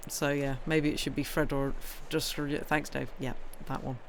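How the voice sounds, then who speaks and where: A woman's voice: deep voice, little pitch movement, moderate pace, a little hiss or hum. Rambling British woman chatting on a livestream. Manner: rambling, playful, self-interrupting. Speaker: livestream host and reader. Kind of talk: casual livestream chat.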